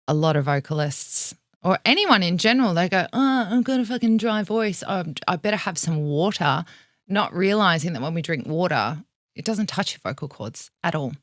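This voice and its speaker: A woman's voice: fairly low pitch, dry recording, clean studio sound. A woman vocal coach with an Australian accent, explaining vocal health in a frank, teacherly way and swearing casually.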